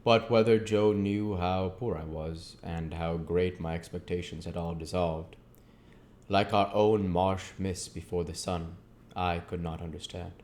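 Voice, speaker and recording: A man's voice: low voice, steady tone, unhurried, a little mellow. A man reading a classic novel aloud as an amateur audiobook narrator.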